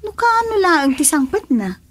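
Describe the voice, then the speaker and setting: A woman's voice: extremely high pitch, big pitch swings, a little slow, no echo, full character voice. A woman playing a chatty, affectionate wife in a radio drama.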